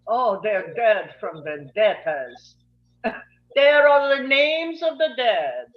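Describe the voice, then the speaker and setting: A man playing an easygoing, teasing Sicilian countryside companion in a live table read, talking in an unhurried, amused way. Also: high-pitched, big pitch swings, muffled recording, heavily acted. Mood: wry.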